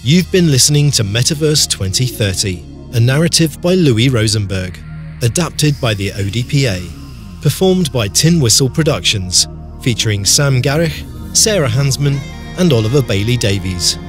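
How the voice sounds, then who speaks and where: A man's voice: mid-range voice, animated, moderate pace. Male credits announcer over faint music. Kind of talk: scripted closing credits.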